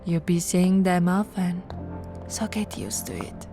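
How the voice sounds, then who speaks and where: A woman voicing a possessive, seductive, haughty vampire noblewoman in an intimate roleplay, with theatrical drawn-out words and breathy whispers. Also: lowish voice, fairly even intonation, loud music behind the voice. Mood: haughty, amused. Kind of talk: intimate ASMR audio roleplay.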